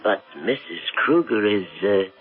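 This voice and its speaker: A manservant who is formal, deferential and hesitant. The voice is husky in this radio drama. The recording is narrow and phone-like, with music behind. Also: male voice, fairly low pitch, animated, measured pace. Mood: uneasy, hesitant.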